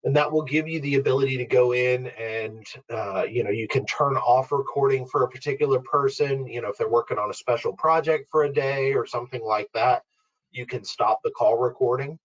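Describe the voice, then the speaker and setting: Male webinar trainer, informal and instructional, walking through software step by step. Mid-range pitch, slightly muffled lo-fi sound. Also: fairly quick. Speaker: webinar presenter, product trainer. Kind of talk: explanatory software walkthrough. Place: online webinar screen-share.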